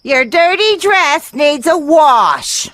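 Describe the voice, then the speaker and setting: A woman voicing a mocking, taunting storybook fox with a jeering, drawn-out delivery. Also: high-pitched, sing-song, fairly bright tone, a little hiss or hum, exaggerated voice.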